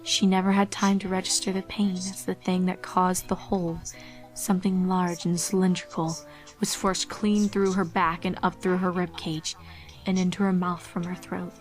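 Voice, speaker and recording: A woman's voice: fairly low pitch, flat delivery, normal speed, soft background music. A woman giving a dramatic scripted narration of a horror story.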